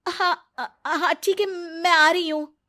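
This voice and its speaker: A woman's voice: very high voice, steady tone, takes it slowly, bright, forceful tone, voice pushed up, exaggerated voice. A woman voicing a distressed young mother in a cartoon moral story, pleading and emotional yet determined. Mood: hesitant.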